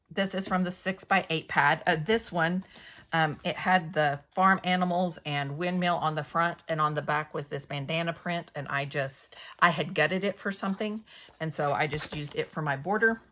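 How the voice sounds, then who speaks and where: A hoarse, middle-aged woman talks through her crafting project in a low voice. Her tone is chatty and meandering, and she often corrects herself mid-sentence. The sound is picked up by a camera above her craft table at home. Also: a bit bright. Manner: chatty, rambling, self-correcting. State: hoarse from yelling at a game. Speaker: scrapbooking channel host. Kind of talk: casual crafting tutorial narration.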